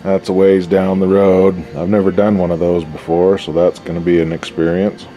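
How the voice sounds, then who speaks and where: Really deep bass guy muttering folksy slangy stuff while tinkering at his bench, bit of faint music in back. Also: little pitch movement, moderate pace, dark tone, rough recording. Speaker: hobbyist radio restorer and video host. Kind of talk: casual unscripted workbench commentary.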